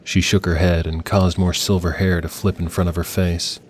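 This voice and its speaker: A man's voice: low-pitched, level tone, moderate pace, slight background noise. A man reading scripted dramatic fiction as an audiobook narrator.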